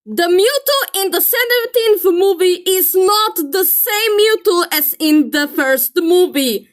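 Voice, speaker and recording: A woman's voice: extremely high pitch, a bit bright. A woman giving opinionated commentary in an exasperated, emphatic manner. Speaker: video creator. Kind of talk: commentary reacting to a film critique.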